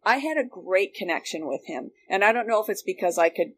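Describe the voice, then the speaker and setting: A woman with a Canadian accent chatting casually and telling stories in an animated way, as a guest looking back. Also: mid-range voice, a little fast. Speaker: guest, former radio worker. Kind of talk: casual reminiscing conversation. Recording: noticeable background noise.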